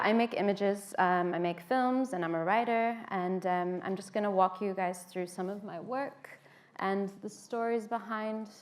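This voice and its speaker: American woman, talk speaker, relaxed conversational delivery, lots of "um"s, mid-pitched. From United States (Washington, D.C.). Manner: conversational, with frequent "um" fillers. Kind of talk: informal seated talk to an audience.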